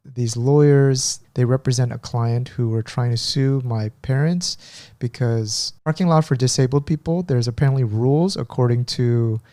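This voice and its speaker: A man's voice: medium pitch, normal speed, few pauses, mellow, dry recording. A man co-hosting a podcast in casual chat, self-deprecating and chatty, laughing at himself as he tells stories. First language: Korean is his second language. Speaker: podcast co-host.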